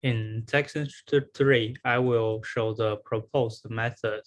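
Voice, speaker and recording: A man delivers a scripted research presentation in a medium-pitched voice. He explains technical material step by step, with a slightly halting delivery. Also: steady tone. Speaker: researcher presenting a conference paper.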